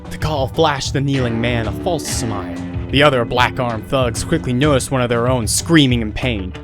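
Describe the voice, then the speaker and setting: A man's voice: mid-range voice, moderate pace, music in the background. A man narrating a scripted audio drama as storyteller.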